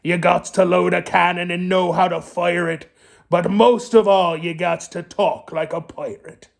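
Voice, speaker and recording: Gravelly, cranky pirate captain. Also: male voice, high voice, fairly even intonation. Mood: demanding. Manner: slow, drawn-out, salty pirate dialect.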